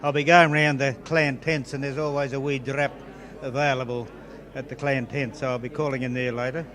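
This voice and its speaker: A man's voice: mid-range voice, little pitch movement, moderate pace, fairly bright tone. A man, chieftain of a gathering, talking casually in an outdoor event interview. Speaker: clan chieftain being interviewed. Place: outdoors at a Highland games. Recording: busy outdoor crowd noise behind. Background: crowd.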